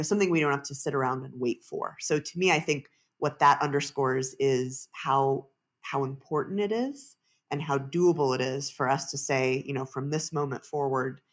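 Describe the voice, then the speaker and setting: An author and researcher answering interview questions in a thoughtful, explanatory way, with frequent restarts. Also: medium pitch.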